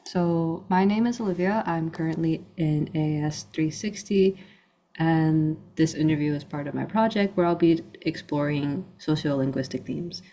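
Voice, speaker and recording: A young woman asking prepared interview questions clearly, as if reading from a script. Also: deep voice, moderate pace, dark tone. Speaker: student interviewer.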